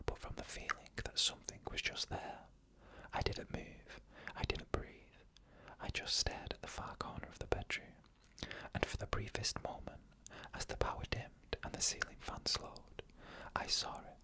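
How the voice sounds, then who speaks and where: A narrator telling creepy stories in a fully unvoiced ASMR whisper, slow and hushed, in short clipped phrases.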